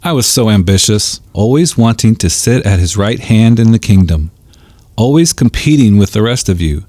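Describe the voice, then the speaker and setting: A man's voice: fairly low pitch, expressive, moderate pace, darkish tone, no echo. A man playing a devoted, once ambitious fisherman disciple in an audio drama, earnest and heartfelt. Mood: humbled, earnest.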